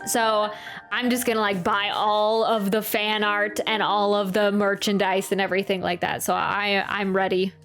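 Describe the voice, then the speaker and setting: A woman's voice: medium pitch, little pitch movement, moderate pace. A woman streamer reacting to a game and chatting casually, rambling and often interrupting herself. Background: soft game music.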